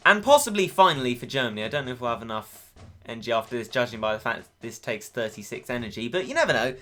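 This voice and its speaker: A man talks through a mobile game with a chatty, self-mocking humor and a slightly hoarse voice. His tone is bright and his pitch leaps around animatedly, and faint music sits behind him. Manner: chatty, upbeat, self-deprecating jokes. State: voice going hoarse. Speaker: gaming YouTuber. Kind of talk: let's play commentary.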